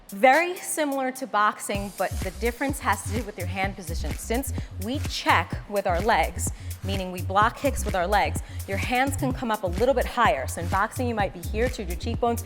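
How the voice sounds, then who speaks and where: A woman in her thirties coaching a workout, giving energetic, encouraging cues and instructions. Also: medium pitch, big-room sound. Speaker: fitness instructor. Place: bright wood-floored fitness studio. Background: background music.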